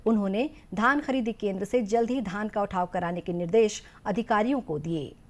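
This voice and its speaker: Woman reading a formal news bulletin. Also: medium pitch. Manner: formal, measured delivery. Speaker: news presenter.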